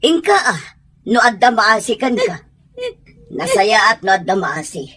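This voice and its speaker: Actress as distraught young mother, very high voice, tearful, pleading, poor and desperate, audio drama. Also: sing-song, a little slow, a bit bright, slightly rough, full character voice. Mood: desperate, crying. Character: distraught poor young mother begging for her sick child. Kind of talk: Ilocano audio drama acting.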